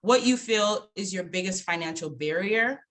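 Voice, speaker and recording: A young woman from Zimbabwe, presenting a casual online finance workshop over a video call in a relaxed, chatty way with many fillers. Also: mid-range voice, moderate pace.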